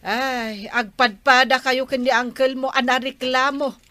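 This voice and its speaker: Comedy skit: plaintive Filipino village wife, played by a woman, Ilocano accent, high voice, dry recording. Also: level tone, unhurried, sharp tone, dry recording. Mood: protesting. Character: village wife protective of her chickens. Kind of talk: amateur comedy skit acting.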